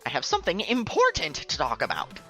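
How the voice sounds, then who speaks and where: Woman as an excitable, bright-voiced teenage boy. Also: sits low, a little fast, faint music behind, full character voice. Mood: exasperated, urgent. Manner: animated, emphatic, conversational. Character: excitable, easily exasperated teenage boy hero. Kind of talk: dubbed webcomic dialogue acting.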